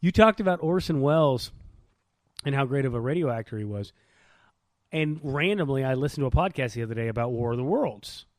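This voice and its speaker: A man's voice: medium pitch, expressive, fairly quick, no room sound, studio quality. A man chatting casually as a podcast co-host, telling stories in an informal, slangy way. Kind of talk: casual chat.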